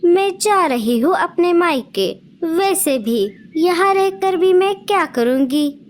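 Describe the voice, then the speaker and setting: A woman's voice: very high-pitched, expressive, slow pace, a little hiss or hum, exaggerated voice. A woman voicing a nagging young female sparrow wife in a children's cartoon, with a whiny, complaining manner. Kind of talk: cartoon voice acting.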